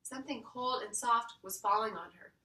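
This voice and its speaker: A woman reading a children's story aloud in an expressive storytelling style. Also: a little high, normal speed. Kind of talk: expressive scripted storybook narration. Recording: roomy echo, distant mic.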